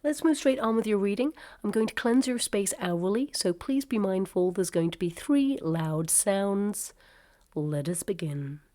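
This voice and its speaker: A woman giving a gentle tarot reading to camera, her voice a little croaky from a cold, speaking in a soothing way with some ums and uhs. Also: medium pitch, a little slow, a little hiss or hum. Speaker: tarot reader.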